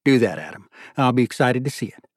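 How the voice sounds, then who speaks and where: A man voicing a friendly, retired older man, gentle and plain-spoken, in an audiobook story. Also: medium pitch, expressive, quick pace, no room sound, studio quality, lightly acted. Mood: kindly, encouraging.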